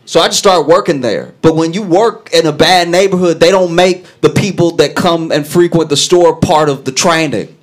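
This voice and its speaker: A young man telling a stand-up story on a club stage into a handheld mic, animated and laughing as he acts out characters. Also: moderate pace. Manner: animated, laughing at his own story, acting out characters in low voices. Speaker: stand-up comedian. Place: brick-walled comedy club stage.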